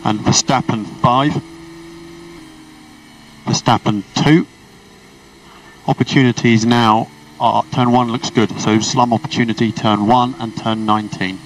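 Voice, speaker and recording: Male race engineer, medium pitch, clipped and procedural, transmitted over distant team radio with car noise. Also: fairly even intonation, unhurried, long pauses. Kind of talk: motorsport team radio instructions.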